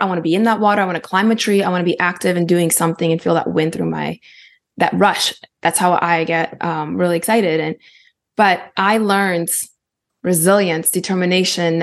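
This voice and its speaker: A woman with an American accent, a guest on a podcast. Her voice sits low and carries a gravelly fry. She talks in quick, animated bursts and laughs often. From Ukraine. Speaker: guest, Paralympic athlete. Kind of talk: casual podcast conversation.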